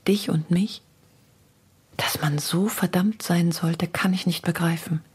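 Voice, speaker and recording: An actress playing an anguished woman poet, reading her letters in an intimate, earnest, breathy half-whisper. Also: lowish voice, steady tone, unhurried, a little mellow. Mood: pleading, despairing.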